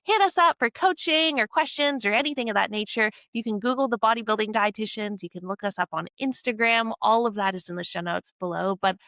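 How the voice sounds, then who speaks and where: A woman's voice: a little high, brisk, hardly any pauses, bright, forceful tone, no echo. A woman in her twenties from Canada, hosting a podcast in a bubbly, upbeat way. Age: 20s. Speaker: podcast host. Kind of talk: casual podcast chat.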